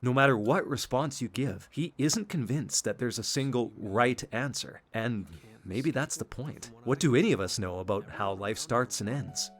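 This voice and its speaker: A man's voice: medium pitch, expressive, moderate pace. A man hosting a video essay, delivering scripted commentary on a video game. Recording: music under the voice. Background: background music.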